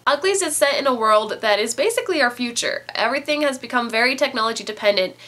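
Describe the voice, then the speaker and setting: A woman's voice: on the high side, lively intonation, fast, sharp tone. A woman in her twenties with a stuffy, nasal voice from a cold, chatting casually as a book reviewer. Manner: chatty, rambling with fillers.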